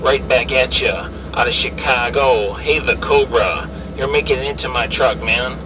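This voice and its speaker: A man's voice: mid-range voice, moderate pace. A man chatting as a CB radio operator inside a vehicle, repeating callsigns and phrases. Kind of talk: CB radio chat. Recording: distant mic, clear vehicle cabin noise. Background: vehicle and ventilation noise.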